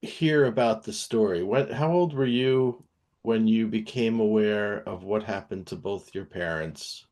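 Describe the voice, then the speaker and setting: A man's voice: on the low side, a little slow, a little mellow. A man hosting a podcast interview who asks short questions and adds brief interjections. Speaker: podcast host.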